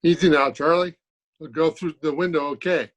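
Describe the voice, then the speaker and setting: Male actor as matter-of-fact piano mover, working-class, old-time radio comedy, raised pitch. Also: fairly even intonation, normal speed, noisy background, natural voice. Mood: cautious.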